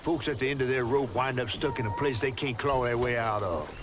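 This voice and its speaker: A man's voice: mid-range voice, fairly quick, close to an everyday voice. Man voicing a folksy Southern talking fish, noisy water behind. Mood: grave warning. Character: folksy talking fish, male, caring, nosy storyteller companion. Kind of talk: video game character acting.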